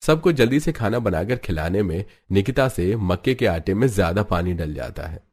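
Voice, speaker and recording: A man's voice: on the low side, animated, moderate pace, a little mellow, husky. A man narrating a scripted cartoon family story.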